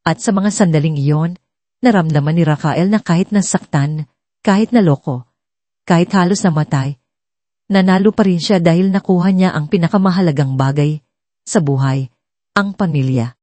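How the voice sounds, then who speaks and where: A woman reading scripted dramatic story narration in an earnest, measured manner. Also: lowish voice, dark tone, no room sound.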